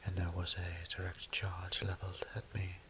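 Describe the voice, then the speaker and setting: A man's voice: deep voice, completely flat delivery, slight background noise. Man acting a whispering, weary vampire priest. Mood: solemn, troubled. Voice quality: breathy, whispered. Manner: hushed, halting, formal wording. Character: weary, tender vampire priest, adult male, troubled exile. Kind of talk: intimate audio roleplay, whispered romance drama.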